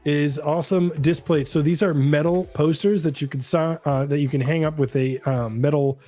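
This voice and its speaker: A man's voice: fairly high pitch, steady tone, normal speed, warm tone, soft background music. A man co-hosting a live stream, explaining things in a casual, friendly, informal way.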